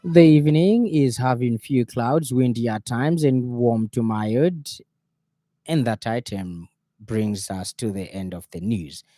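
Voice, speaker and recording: A man with a Zambian accent reading an evening radio news bulletin in a formal, measured way. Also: medium pitch, dark tone, dry recording, clean studio sound. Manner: formal, measured newsreader delivery. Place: radio studio.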